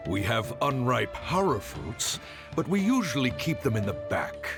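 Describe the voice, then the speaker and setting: A man's voice: mid-range voice, sing-song, a little slow, music in the background. A man playing an affable, coy and courteous fruit shopkeeper in a video game. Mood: welcoming, knowing.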